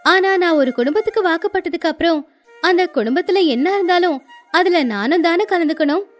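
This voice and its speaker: A woman's voice: extremely high pitch, expressive, brisk, soft background music. A woman voicing an earnest, dutiful young village daughter-in-law who speaks respectfully in a moral-story cartoon. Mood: earnest pleading.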